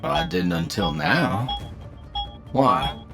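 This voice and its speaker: A man playing a gritty, steady leader of an adventuring party in an audio drama, laconic and folksy in his drawl, with a gravelly voice. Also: low-pitched, very slow, heavily acted. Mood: dry, watchful. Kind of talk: anime-style audio roleplay acting. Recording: loud music over the voice.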